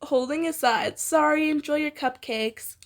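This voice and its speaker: A woman's voice: sits high, unhurried. A young woman reading fan fiction aloud informally with a friend, in a playful, giggly manner. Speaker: amateur fan fiction reader.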